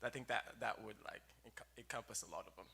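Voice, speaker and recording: Gravelly rapper from Zambia. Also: medium pitch, fast talker. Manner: relaxed, rambling with fillers and restarts. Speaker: rapper and producer, interview guest. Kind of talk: casual radio interview.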